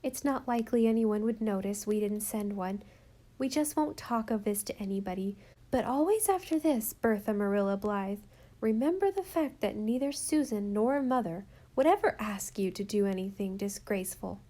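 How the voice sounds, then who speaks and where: A mother soothes her young daughter, calm and gently firm. A woman voices her while reading a storybook aloud. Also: medium pitch, normal speed, lightly acted. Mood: reassuring.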